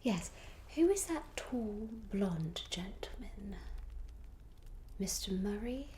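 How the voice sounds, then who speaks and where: A woman voicing a genteel, gossipy Regency lady in a soft ASMR roleplay whisper, musing politely and hesitantly. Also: medium pitch, big pitch swings, takes it slowly, a little hiss or hum. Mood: polite, pondering. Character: genteel, gossipy young Regency lady planning a matchmaking guest list.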